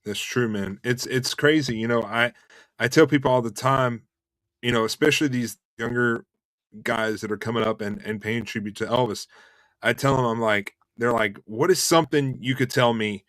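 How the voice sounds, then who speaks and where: A young male host and tribute singer chatting casually over a video call. His voice sits at an ordinary middle pitch. The sound is dry and close, and he talks in loose, repeating bursts full of "man" and "dude". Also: moderate pace, no room sound, low-quality recording. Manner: relaxed and chatty, repeats words and says "man" and "dude" a lot. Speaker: host and Elvis tribute artist. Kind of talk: casual interview chat.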